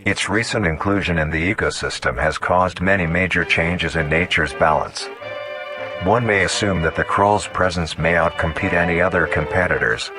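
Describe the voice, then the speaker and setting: A man playing a nature documentary narrator, reading scripted facts in a formal, measured, clinical way. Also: sits low, close to an everyday voice. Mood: matter-of-fact. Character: male narrator of a fictional creature documentary.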